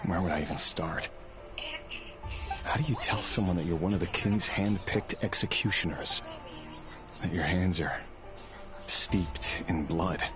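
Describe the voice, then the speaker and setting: An actor voicing a weary, earnest young man with a secret grim trade, speaking in a measured way for a video game. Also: sits low. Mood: grave, troubled. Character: weary young man, secret royal executioner, tender but brooding. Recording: dull game audio under loud music.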